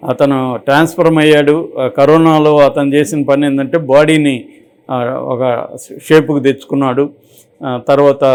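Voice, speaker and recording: A man's voice: fairly high pitch, darkish tone. A man with a Telugu accent giving unscripted opinionated commentary, explaining through examples and rhetorical questions. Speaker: political and film commentator.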